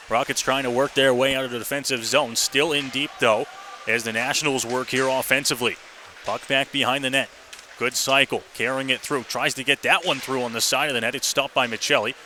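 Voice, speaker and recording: A man calling a live hockey game on the radio as the play-by-play announcer. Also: medium pitch. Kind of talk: live radio sports commentary. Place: ice hockey arena. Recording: live rink broadcast with faint noise.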